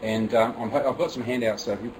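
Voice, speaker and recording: A man's voice: medium pitch, fairly even intonation, fast, far from the mic, background noise. A man with a New Zealand accent presenting a teaching method to a room of fellow teachers, chatty and self-deprecating, with many fillers and restarts. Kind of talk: workshop presentation. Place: room with an audience.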